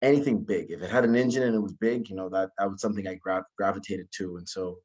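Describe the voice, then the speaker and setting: A man, giving an informal talk about his own story in a polite, humble way, often halting and repeating words. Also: sits low, steady tone, darkish tone, lo-fi sound. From Bahamas. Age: 20s. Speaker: guest speaker, alumnus.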